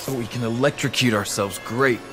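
Male actor playing a young superhero sidekick, medium pitch, earnest, terse, practical, video game, noisy background. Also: a little fast, lightly acted. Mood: focused, dry. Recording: clear background noise.

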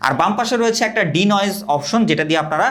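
Young guy rattling off a gadget review super fast, kinda high voice, salesy. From Bangladesh. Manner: rapid, energetic sales pitch. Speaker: product reviewer. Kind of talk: scripted product review.

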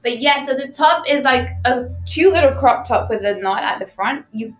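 A woman's voice: high voice, animated, normal speed, a bit bright, far from the mic. A British woman in her twenties, chatting informally as she presents products on a live stream. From London, England. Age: 20s. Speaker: live shopping co-host and model. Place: indoor studio set. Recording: echoey room, off-mic, music.

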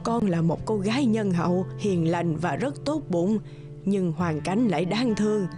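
A woman voicing a kindly old fairy in a cartoon, soft, slow, comforting and measured. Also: mid-range voice, lively intonation, over music, natural voice. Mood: gentle, reassuring.

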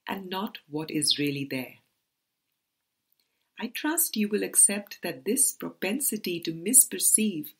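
A woman reading a spiritual book aloud as scripted narration, in a deliberate manner with pauses between phrases. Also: lowish voice, husky. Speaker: audiobook reader.

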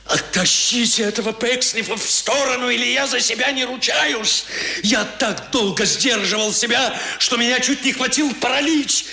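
An actor playing an ardent, hot-tempered young grandson, impassioned and sincere, in a 1970s radio drama. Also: male voice, very high voice, normal speed, creaky, bright, forceful tone, soft background music, heavily acted. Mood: furious. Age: young adult. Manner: impassioned, exclamatory.

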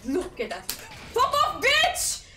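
A young woman live-streaming a game, reacting in an excitable, exasperated, sweary way. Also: extremely high pitch, takes it slowly, roomy sound, far from the mic, loud music behind the voice. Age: young adult. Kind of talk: live gaming reaction chat. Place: indoor gaming setup on a livestream.

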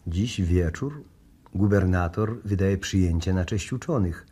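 An actor playing a formal, guarded embassy diplomat, over-polite and unruffled, in a 1960s-style Polish radio drama. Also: male voice, low-pitched, unhurried, warm tone, husky.

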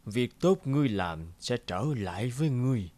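A man's voice: medium pitch, animated, moderate pace, a little mellow, dry recording, lightly acted. Man voicing a solemn old wanderer. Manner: solemn, sententious. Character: stern, cryptic old bearded wanderer. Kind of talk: cartoon fairy-tale dubbing.

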